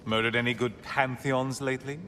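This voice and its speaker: An actor playing a sardonic, taunting sun god in a video game, with theatrical, mock-cheerful sarcasm. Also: male voice, medium pitch, steady tone, fairly quick, sharp tone, a little hiss or hum, lightly acted. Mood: mocking, gleeful. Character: taunting, sardonic sun god, gleefully mocking old foe. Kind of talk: dramatic video game acting.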